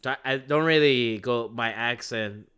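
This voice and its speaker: A young man from Venezuela co-hosting a podcast, chatting casually and reflectively with frequent pauses and restarts. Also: medium pitch, a bit bright, smooth. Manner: hesitant, restarts often, reflective. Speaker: podcast co-host. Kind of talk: casual chat.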